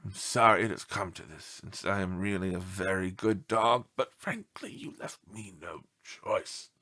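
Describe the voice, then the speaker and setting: A man voicing a self-pitying, melodramatic pet dog in a storybook, with theatrical, mournful delivery and breathy sighs. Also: low-pitched, a little slow, pushed and bright, voice pushed down. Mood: mournful, martyred. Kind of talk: storybook read-aloud character.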